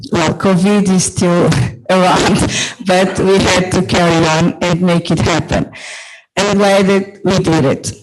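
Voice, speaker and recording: A conference organizer, opening the event with a formal welcome and a list of dignitaries, clearing the throat now and then. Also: mid-range voice, poor audio.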